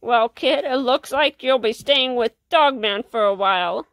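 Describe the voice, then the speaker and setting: A woman's voice: fairly high pitch, unhurried, a bit bright, dry recording, voice pushed down. A woman voicing a wry, roguish male villain cat in a storybook, with a theatrical manner. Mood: fatherly.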